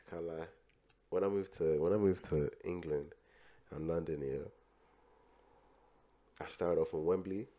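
A man's voice: sits low, steady tone, unhurried, pauses often, mellow. A young man who grew up in Dublin and is of Nigerian heritage, co-hosting a casual podcast chat. He talks in a laid-back way, full of filler phrases. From grew up in Dublin, Ireland; Nigerian. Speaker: podcast co-host.

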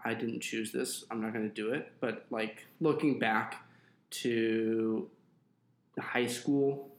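A man's voice: on the low side, steady tone, takes it slowly, echoey room. A young adult man co-hosting a podcast, chatting casually and rambling a little with fillers. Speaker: podcast co-host.

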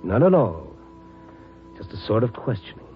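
Hardboiled american reporter, wry n clipped, lowish voice, tinny old radio drama w/ music. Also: male voice, very animated, moderate pace. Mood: brooding narration. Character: world-weary, wry male city newspaper reporter. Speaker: newspaper reporter lead and narrator. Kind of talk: 1950s radio drama acting.

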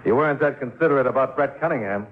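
An actor in a 1950s radio drama playing a wry, clipped, sardonic insurance investigator who also narrates. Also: male voice, medium pitch, fast talker, natural voice. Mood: hard, sardonic. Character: wry, hard-boiled male insurance investigator narrating his case. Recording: tinny phone-like 1950s radio recording with music.

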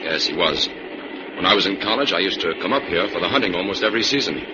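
An actor playing a calm, assured crime-fighting scientist in an old-time radio drama. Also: male voice, deep voice, fast. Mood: calm, explanatory. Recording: muffled, noisy old radio recording.

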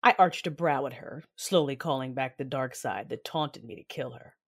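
A woman's voice: rumbling low, moderate pace, slightly rough, full character voice. A woman playing an intense, battle-hardened Sith mother who narrates her own story theatrically in an audio drama. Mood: grim, determined. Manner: brooding, theatrical, emphatic outbursts. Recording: music bed with rain sounds behind.

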